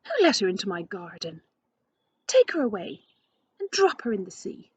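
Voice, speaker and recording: A woman's voice: mid-range voice, big pitch swings, normal speed, no room sound, voice pushed down. A woman voicing an angry, commanding king in a storybook reading. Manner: gruff, commanding. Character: angry stern old king. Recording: dull lo-fi recording.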